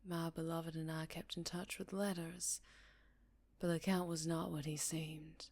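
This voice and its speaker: Casting: actress as melancholy, tender vampire woman for ASMR roleplay; low register, Southern US accent, hushed and unhurried. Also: level tone. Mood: somber, confiding. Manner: slow, hushed, affectionate, with pauses and sighs. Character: gentle, melancholy English-born female vampire, tender and wary. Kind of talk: intimate ASMR roleplay, soft and partly whispered.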